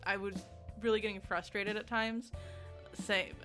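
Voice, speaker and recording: A woman's voice: mid-range voice, flat delivery, measured pace, over music. A woman giving a casual, conversational video game review in an emphatic, informal way, often giggly as she talks.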